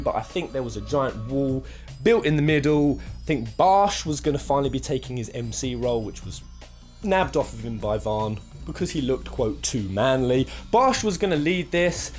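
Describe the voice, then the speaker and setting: Male countdown host, informal and chatty gaming commentary, highly varied intonation, music bed underneath. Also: a little mellow. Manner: conversational, informal with swearing. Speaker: video game countdown host. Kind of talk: casual commentary on game lore. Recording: music playing behind the voice. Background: game soundtrack music.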